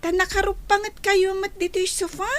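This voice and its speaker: A woman's voice: extremely high pitch, little pitch movement, fairly quick, a bit bright, slight background noise. A woman voicing a sweet, chatty little grandchild in an Ilocano radio drama, with an Ilocano accent. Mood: concerned, caring.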